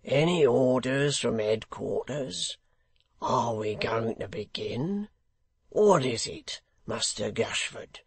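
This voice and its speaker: Casting: male reader as rough storybook hangman, hoarse growl, sly and over-familiar, unhurried delivery. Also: medium pitch, animated, crowd noise. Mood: eager, prying. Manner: cockney-style dropped grammar, chuckling familiarity. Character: coarse, sly, eager middle-aged male hangman.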